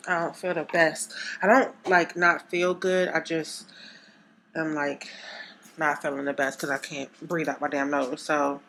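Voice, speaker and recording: A woman in her thirties with a stuffy, congested nose, chatting casually in her home kitchen for a vlog, rambling with fillers and clearing her throat. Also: low voice, level tone, measured pace, fairly bright tone. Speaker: vlogger. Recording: noisy home recording.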